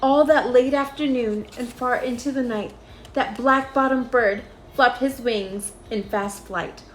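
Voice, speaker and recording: A woman reading a rhyming children's story aloud in an expressive, measured storytelling style. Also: on the high side, a little hiss or hum, poor audio.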